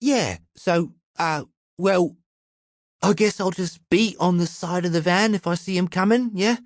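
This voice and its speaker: A man's voice: high voice, unhurried, no echo, studio quality, voice pushed up. A man voicing a tall, nervous crook with a Cockney accent and an anxious, chattering manner in an audiobook.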